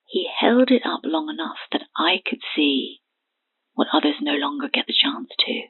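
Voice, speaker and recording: A woman in her twenties playing a haunted, terrified witness in an audio horror drama, speaking haltingly and hushed through a telephone filter. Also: lowish voice, sing-song, whispered, exaggerated voice. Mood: shaken, haunted. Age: 20s. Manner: halting, hushed, breathy delivery. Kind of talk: audio horror drama acting, phone confession. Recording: thin phone-line sound.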